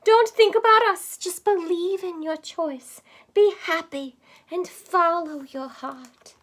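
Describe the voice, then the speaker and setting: A woman's voice: very high-pitched, slow, fairly bright tone, pitched above the performer's own voice, heavily acted. A woman voicing a loving, encouraging mother mouse in a quavering storybook voice. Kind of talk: storybook character voice.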